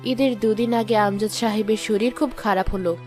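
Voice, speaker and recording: A woman's voice: medium pitch, steady tone, normal speed, darkish tone, voice pushed down, natural voice. A woman giving scripted narration for a cartoon moral story. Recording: music behind the voice.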